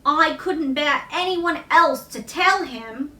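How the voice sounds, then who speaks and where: A woman voicing an earnest, excitable young boy in a children's storybook, with an eager, wondering way of talking. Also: high-pitched, slow pace, exaggerated voice. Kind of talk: children's storybook read-aloud. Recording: roomy echo, distant microphone.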